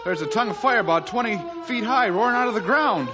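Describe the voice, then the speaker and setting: A man's voice: high-pitched, lively intonation, fast, noisy background. A man playing a flustered, hesitant townsman in a radio drama, deferential to his boss.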